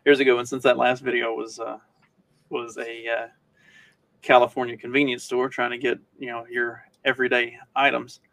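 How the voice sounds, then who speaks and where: A man co-hosting a podcast, chatting casually in a halting, hesitant way. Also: medium pitch, level tone, vocal fry, fairly bright tone. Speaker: podcast co-host. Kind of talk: casual chat.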